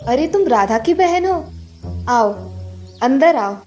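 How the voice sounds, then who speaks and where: A woman voicing a calm, stern old grandmother in a moral-story cartoon, speaking in a measured, admonishing way. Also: sits high, soft background music. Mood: welcoming.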